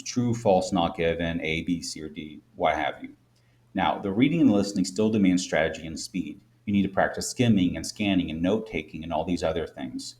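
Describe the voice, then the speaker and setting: Deep-voiced man, Midwest American accent, English teacher, clear and measured instructive read. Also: darkish tone. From United States. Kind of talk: scripted explainer lesson.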